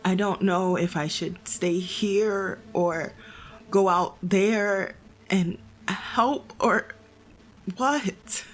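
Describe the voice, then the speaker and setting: An actress playing a bookish, guilt-ridden young woman in an audio drama, halting and hushed as she confesses her doubts. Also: mid-range voice. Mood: guilty, torn. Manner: halting, reflective, self-doubting.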